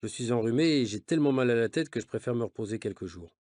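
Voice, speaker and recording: A man reads lines of dialogue from a learner's novel in a measured, clearly spoken way. His voice sits at an ordinary middle pitch. A faint hiss runs underneath. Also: animated, darkish tone. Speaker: audio reader of a learner's novel. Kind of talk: reading dialogue for language learners.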